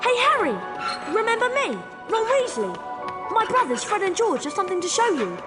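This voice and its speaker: A woman voicing an eager, friendly young schoolboy wizard in a video game, excited in manner. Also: very high-pitched, brisk, over loud music.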